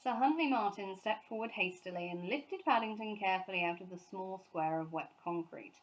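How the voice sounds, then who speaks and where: A woman reading a children's story aloud as scripted, expressive narration. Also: medium pitch, fairly quick, slight background noise, poor audio. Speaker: story reader, teacher.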